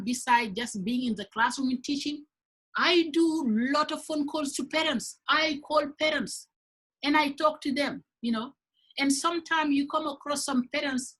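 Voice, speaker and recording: A woman from Senegal, a high-school teacher, speaking earnestly in a panel discussion and often repeating words as she builds a point. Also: a bit bright, slightly rough, poor audio.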